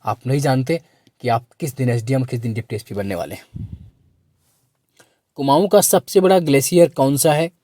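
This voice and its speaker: A man with a hoarse, sore-throated voice teaching a quiz lesson for exam students, repeating questions and prompting listeners to answer. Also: medium pitch, animated, normal speed, long pauses, warm tone, no echo.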